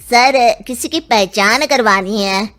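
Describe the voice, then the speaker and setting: A woman playing a deferential male police constable in a story, speaking in a brisk, formal way. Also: a little high, fairly bright tone. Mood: official. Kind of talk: dramatized audio storytelling. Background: music.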